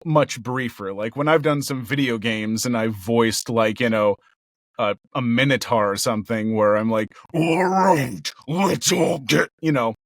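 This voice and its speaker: A cheerful, excitable man talking about his voice work in a relaxed interview. His voice sits at a middling pitch with a gravelly edge. The sound is dry and close, with no room around it. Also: unhurried, no room sound. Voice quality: speaks in a raised register with a gravelly undertone. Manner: cheerful and excitable, laughs often. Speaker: voice actor guest. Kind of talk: casual interview chat. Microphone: headphones and a home recording mic.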